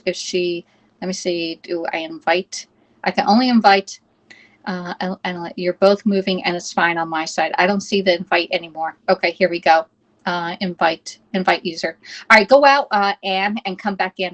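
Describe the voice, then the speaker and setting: A woman's voice: on the low side, fairly bright tone. A woman from the United States hosting a live cooking stream, chatting casually and reading viewer comments aloud while she fumbles with the app.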